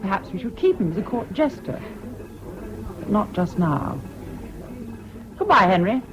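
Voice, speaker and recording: Radio play: imperious, mocking English wife, highly animated delivery, muffled vintage sound. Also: female voice, unhurried, music in the background. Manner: bossy, arch, teasing. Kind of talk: 1970s British radio drama acting. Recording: dull old radio recording with crowd sounds.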